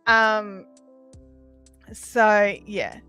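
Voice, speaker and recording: A woman's voice: mid-range voice, steady tone, very slow, sharp tone, clear, resonant tone, soft background music. A woman hosting a casual livestream, explaining things conversationally as she answers viewers. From Australia. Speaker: livestream host. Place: small sound-treated home room.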